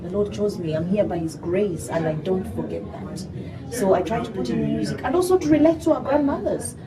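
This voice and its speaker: A woman's voice: medium pitch, fairly quick, crowd noise. A young woman from Uganda with a Ugandan accent, speaking animatedly as a gospel singer being interviewed, often saying "you know". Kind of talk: interview conversation. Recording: distant mic, noisy background.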